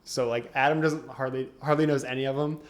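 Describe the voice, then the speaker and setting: A young man with a middle-pitched, everyday voice co-hosts a gaming podcast. He talks in a laid-back way, throws in quick asides and laughs often. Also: steady tone. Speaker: podcast co-host. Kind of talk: casual chat.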